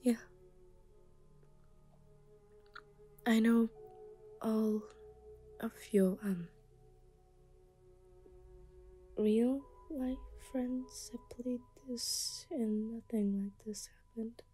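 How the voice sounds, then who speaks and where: Woman acting obsessive yandere anime girl, soft whispery ASMR delivery, dark mellow tone, hesitant stammer, very slow, pleading. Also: on the high side, fairly even intonation, soft background music. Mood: anxious, pleading. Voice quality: soft, breathy, often whispering. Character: obsessive, self-aware yandere anime schoolgirl, shy and apologetic. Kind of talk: ASMR roleplay.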